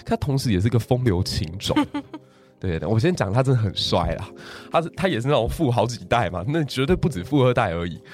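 Animated man telling history stories. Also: mid-range voice, a little fast. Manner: lively storytelling with frequent laughs. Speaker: guest history teacher. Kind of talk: casual podcast chat.

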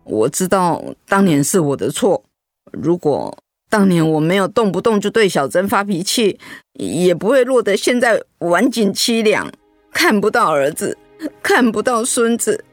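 A woman's voice: medium pitch, big pitch swings, measured pace, no room sound, faint music behind. Woman acting a sorrowful, dying elderly mother, voice swooping. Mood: tearful regret. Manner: plaintive, sorrowful. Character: regretful, dying elderly mother longing for her son. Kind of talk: radio drama acting.

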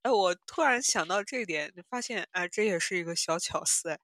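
A woman's voice: fairly low pitch, lively intonation, fairly quick, a bit bright, dry recording, clean studio sound. A woman hosting a podcast in casual chat, musing and building her points in short phrases. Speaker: podcast host. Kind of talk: casual chat reviewing audio dramas.

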